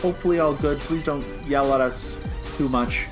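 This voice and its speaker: An American man co-hosting a podcast in casual, animated fan chat that slips into mock quotes. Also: mid-range voice, moderate pace, over music. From United States. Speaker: podcast co-host.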